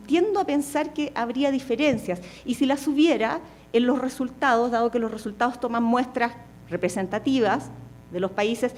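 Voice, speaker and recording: A woman's voice: on the high side, darkish tone. A woman with a Chilean accent giving reflective, hedging commentary on a panel, with frequent fillers as she thinks aloud.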